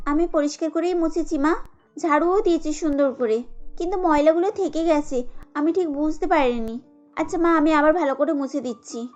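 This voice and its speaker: A woman's voice: high voice, normal speed, a little mellow, faint music behind, lightly acted. A woman playing a timid, caring young daughter-in-law in a cartoon family drama, speaking earnestly and deferentially. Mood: apologetic.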